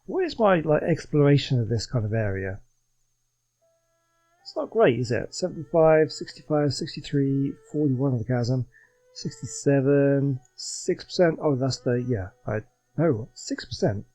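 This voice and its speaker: A man's voice: mid-range voice, big pitch swings, unhurried, dark tone, low-quality recording. A man giving casual running commentary on the game he is playing. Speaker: gaming video host.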